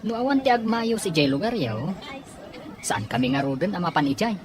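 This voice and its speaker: A woman's voice: fairly low pitch, big pitch swings, fairly quick, a crowd in the background. A woman playing a probing man on a search in an audio drama, with a noisy background. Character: probing man searching for someone, offering money. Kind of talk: amateur audio drama acting. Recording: noisy, with ambient background sound.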